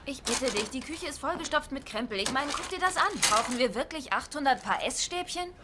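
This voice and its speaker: High-voiced, sarcastic sitcom wife. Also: female voice, expressive, a little fast. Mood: dry, practical. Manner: dry, teasing. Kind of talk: dubbed sitcom dialogue. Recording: noisy audio with clicks.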